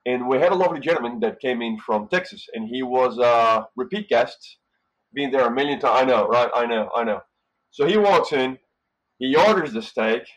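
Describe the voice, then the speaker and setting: A middle-aged man from Brazil, a hotel manager and podcast guest, telling relaxed stories with lots of fillers and tag questions. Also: medium pitch, moderate pace, rough recording. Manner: relaxed storytelling with frequent fillers and "right?". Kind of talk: casual interview conversation.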